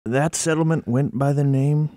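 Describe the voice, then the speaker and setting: A man giving scripted narration for a historical documentary, telling it deliberately like a storyteller. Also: on the high side, steady tone, mellow, no room sound, clean studio sound. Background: faint plucked guitar.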